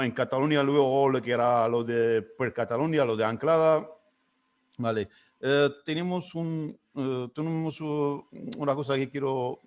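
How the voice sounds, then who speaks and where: A man moderating an online panel debate, introducing the speakers with many hesitations and restarts. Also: mid-range voice.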